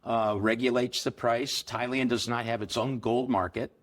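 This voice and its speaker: Man, middle-aged, guest on a business interview, anecdotal, mid-pitched voice. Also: fairly even intonation, normal speed. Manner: explanatory, anecdotal, with occasional laughs. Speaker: gold business co-founder, guest. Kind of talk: conversational interview answers.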